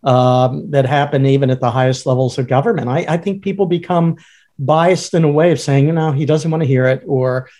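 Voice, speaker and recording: A man co-hosting a podcast who calls himself a baritone, thinking aloud in halting, filler-heavy speech. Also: warm tone. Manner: hesitant, many uh fillers and restarts. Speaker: podcast co-host. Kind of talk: casual conversational podcast.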